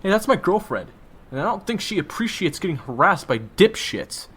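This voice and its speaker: A man voicing a hot-headed young boyfriend who shouts insults and picks a fight. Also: high-pitched, sing-song, moderate pace, husky, pitched above the performer's own voice, heavily acted. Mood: aggressive. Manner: aggressive, loud, insulting.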